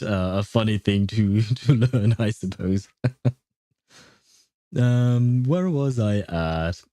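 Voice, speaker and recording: A man hosting a livestream, chatting casually with viewers in a halting way, often chuckling. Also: mid-range voice, dark tone, no echo, clean studio sound. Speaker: livestream host. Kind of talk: casual chat with live stream viewers.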